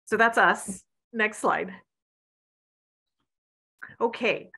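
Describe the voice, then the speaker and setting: Bright-voiced woman presenting at a conference. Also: medium pitch, drawn-out words, gravelly, breathy edge. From United States. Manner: friendly, warm presenting with fillers. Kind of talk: conference talk over video call.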